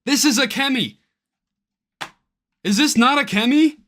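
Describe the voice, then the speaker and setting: Excitable man with a bright, forceful voice. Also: extremely high pitch, unhurried. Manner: excitable, easily incredulous, exclaiming. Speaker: YouTuber reacting to a game's crowdfunding page. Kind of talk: casual reaction commentary, reading aloud from a web page.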